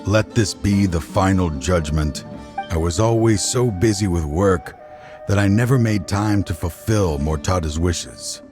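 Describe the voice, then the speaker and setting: A man playing a remorseful, resolute father and dying official in a video game, speaking gravely and haltingly. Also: low-pitched. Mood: weary, regretful. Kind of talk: dramatic video game dialogue. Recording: game music behind the voice.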